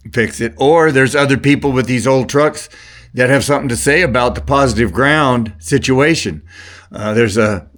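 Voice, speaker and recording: An older man chats casually about his hobby in a mid-pitched voice. He takes his time, speaking slowly and haltingly. His sentences ramble and often drift off unfinished. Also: fairly bright tone. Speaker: CB radio hobbyist. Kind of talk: casual unscripted product talk.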